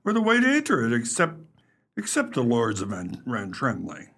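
An actor playing an unimaginative, easily astonished chief constable in a radio play, with a gravelly, husky voice and plain, blustering speech. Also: male voice, mid-range voice, huge pitch swings, normal speed, a bit bright, full character voice. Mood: baffled, impressed.

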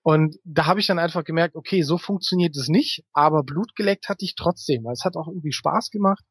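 A middle-aged man talks as a guest in an interview, telling anecdotes conversationally. His voice is somewhat high for a man and sounds close and dry, free of room echo. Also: a little fast, husky.

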